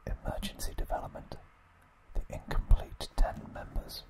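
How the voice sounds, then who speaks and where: A man hosting an ASMR video, whispering trivia in a hushed, deliberate manner with pauses. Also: low-quality recording.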